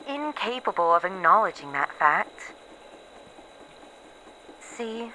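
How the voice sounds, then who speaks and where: A woman playing a weary, haunted researcher who is losing her memories, in a halting, introspective horror audio roleplay monologue. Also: medium pitch, sharp tone, a little hiss or hum, full character voice, rough recording. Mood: wistful, troubled. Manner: halting, introspective, pausing between short phrases.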